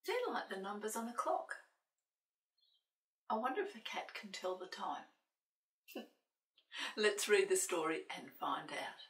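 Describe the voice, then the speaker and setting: Lively older woman reading slowly to children. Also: mid-range voice. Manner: playful, sing-song, asking questions of young listeners. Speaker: children's storytime presenter. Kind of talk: animated picture-book reading with asides to young children. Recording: roomy echo, distant microphone.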